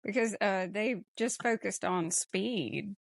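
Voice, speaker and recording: A woman's voice: medium pitch, takes it slowly, gravelly, no echo. A woman hosting a podcast, talking casually through a film discussion. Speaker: podcast host.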